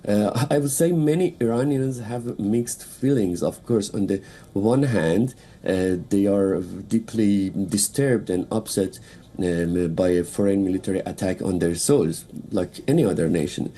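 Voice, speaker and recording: A man from Iran speaking English with a Persian accent, a political analyst giving a halting live news interview full of fillers and restarts. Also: on the low side, mellow, breathy edge.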